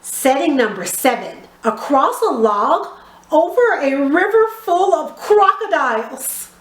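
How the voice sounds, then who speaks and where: High-pitched, animated woman teaching young children. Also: takes it slowly, music in the background. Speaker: drama teacher. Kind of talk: instructional video lesson for young children. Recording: roomy, echoey sound.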